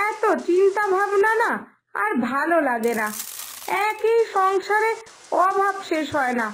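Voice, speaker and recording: A woman's voice: extremely high pitch, a little hiss or hum, low-quality recording. A woman voicing a fretful village mother in a cartoon moral story, lamenting and admonishing in an exasperated way.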